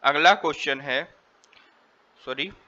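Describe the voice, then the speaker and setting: Indian male quiz tutor, slightly high voice, explanatory and repetitive, lo-fi sound. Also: slow pace, sharp tone. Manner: repeats and rephrases, switches between Hindi and English. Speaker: exam tutor. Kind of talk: quiz lesson, reading questions and explaining answers.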